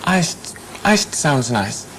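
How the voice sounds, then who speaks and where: Low-voiced woman, hesitant customer. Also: big pitch swings, extremely slow, slight background noise. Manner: hesitant, humming before answers. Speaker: dialogue reader playing a customer. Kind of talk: scripted practice dialogue.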